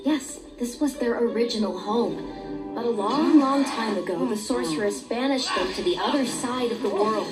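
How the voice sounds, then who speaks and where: An actress voicing an earnest young rabbit sorceress apprentice who turns defiant. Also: female voice, on the high side, close to an everyday voice, poor audio. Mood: apologetic. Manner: earnest, quick to snap. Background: game music.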